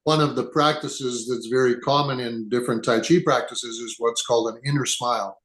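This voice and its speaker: A man's voice: medium pitch, fairly even intonation, moderate pace, fairly bright tone. A man in his seventies, born in England, talking thoughtfully as a podcast guest and often restarting his sentences. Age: 70s. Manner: thoughtful, rambling with frequent restarts. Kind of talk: relaxed conversational interview. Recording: echoey room.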